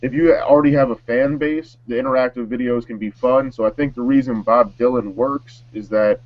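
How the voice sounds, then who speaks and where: A man's voice: mid-range voice, steady tone, a little fast, no room sound. A man chatting informally and slangily as a panelist on a music talk show. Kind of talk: casual chat. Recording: dry, slightly muffled audio.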